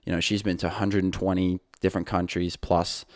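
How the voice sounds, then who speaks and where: A man's voice: low voice, little pitch movement, a little fast, dry recording, studio quality. A young man hosting his own podcast, talking casually and rambling a little, with frequent fillers and restarts. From England. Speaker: podcast host. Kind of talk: casual solo podcast talk.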